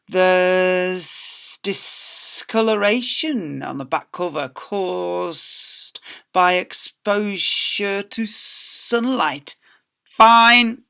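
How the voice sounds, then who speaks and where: A man voicing a severe, greedy elderly woman librarian in a children's storybook, drawing out faults slowly and snapping out verdicts. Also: high voice, vocal fry, pushed and bright, voice pushed up, full character voice. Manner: slow, drawn-out fault-finding, snapping out verdicts.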